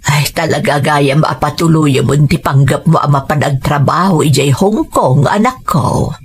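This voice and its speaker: A woman's voice: sits low, normal speed, slightly rough. A woman with an Ilocano accent voicing a caring mother in an audio story, tender and concerned.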